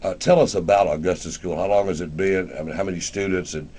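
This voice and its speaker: A man's voice: low voice, steady tone, fast, a little mellow, breathy edge. A middle-aged or older man, hosting a casual radio interview in a folksy, rambling way. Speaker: radio show host.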